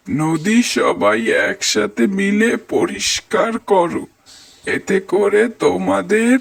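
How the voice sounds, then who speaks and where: A man's voice: sits high, gravelly, heavily acted. A man voicing village men in a cartoon, speaking in a declamatory way. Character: village men: angry villagers, calming council member, friendly snack vendor. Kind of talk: cartoon voice acting.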